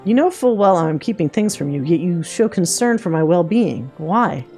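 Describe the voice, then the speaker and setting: Girl doing a nervous guy lieutenant from a game, evasive and halting, mellow voice, quiet music behind. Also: lowish voice, animated. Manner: hesitant, evasive.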